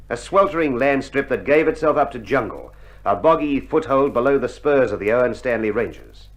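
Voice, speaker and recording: Man acting a war correspondent, Australian accent, mid-pitched, solemn, proud, measured oratory, vintage documentary drama narration. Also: close to an everyday voice, low-quality recording.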